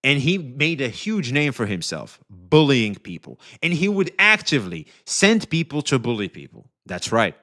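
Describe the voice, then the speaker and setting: A male streamer giving animated, profane commentary, speaking straight to his chat. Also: medium pitch, moderate pace, hardly any pauses.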